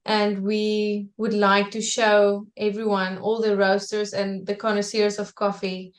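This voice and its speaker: A woman who co-runs a coffee import business, speaking gently in an interview. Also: medium pitch, completely flat delivery. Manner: slow and gentle, laughs between phrases. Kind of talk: casual interview talk. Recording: echoey room, from a distance.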